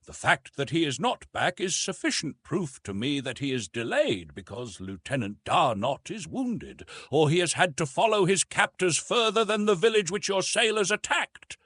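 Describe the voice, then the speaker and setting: Give this to a resolute young Southern lady played by a male narrator, proud and argumentative in an old adventure novel. The pitch is lifted and swings widely as the formal sentences press each point. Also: sing-song, normal speed, few pauses, a bit bright, husky, dry recording, heavily acted. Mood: determined, insistent. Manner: emphatic, formal, insistent.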